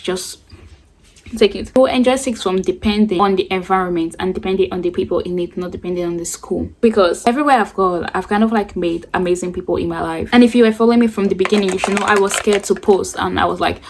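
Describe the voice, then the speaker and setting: A teenage girl chatting in a rambling, casual way while doing her makeup for a get-ready-with-me vlog. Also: medium pitch. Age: late teens. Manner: rambling, chatty, self-described yapping. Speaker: beauty vlogger.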